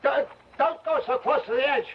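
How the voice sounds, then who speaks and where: Protective, earnest man in peril, vintage radio serial, high-pitched urgent delivery, muffled recording. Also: fairly even intonation, moderate pace. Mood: steady, concerned. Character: steady, protective man turning helpless, trapped fugitive. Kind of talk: old-time radio drama acting. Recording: muffled old radio recording, faint noise.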